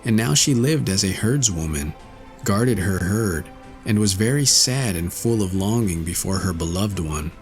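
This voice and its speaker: A man narrates an old fairy tale in a fairly low voice. His reading is scripted and measured, in the manner of an audiobook storyteller, with music present. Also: lively intonation.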